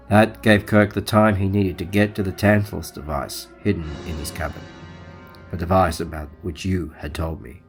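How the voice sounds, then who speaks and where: Man playing alien starship officer, low voice, deadpan, formal, calculating, audio drama over music. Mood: grave, measured. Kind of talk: audio drama narration and dialogue.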